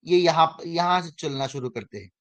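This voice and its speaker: A man's voice: fairly high pitch, moderate pace, a bit bright. A man in his thirties teaches a finance class to students online. He talks to them directly, checks again and again that they follow, and fixes his own slips as he goes. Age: 30s. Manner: repeats and checks in with students, self-correcting. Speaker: finance course instructor.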